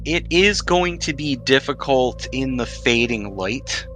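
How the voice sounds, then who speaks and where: A man narrates a tabletop roleplaying game as its game master. His voice is bright and mid-pitched, and he sets scenes in a conversational, descriptive way over faint music. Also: lively intonation, slow pace, low-quality recording.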